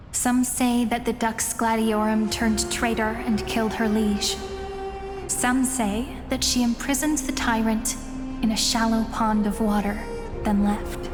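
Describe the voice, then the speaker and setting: Game narrator played by a woman: solemn chronicler, restrained, flat melody, music behind. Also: on the high side, lightly acted. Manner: measured, grave, restrained. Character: solemn female storyteller chronicling an empress's legend. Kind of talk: scripted story narration in a video game. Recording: long reverb with music behind.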